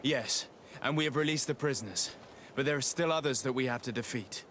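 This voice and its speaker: An actor playing a composed, decisive diplomatic envoy in a video game, speaking in a formal, measured way. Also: male voice, medium pitch, a bit bright, slight background noise, lightly acted. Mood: composed, firm. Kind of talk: scripted video game acting.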